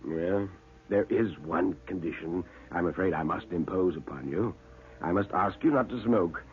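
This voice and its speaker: A man's voice: deep voice, close to an everyday voice. A man voicing a chatty museum manager with macabre relish and hesitant ums, in classic radio horror style. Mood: cautionary, fussy. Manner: hesitant ums, drawn-out relish, chuckling asides. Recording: muffled narrow-band old radio recording.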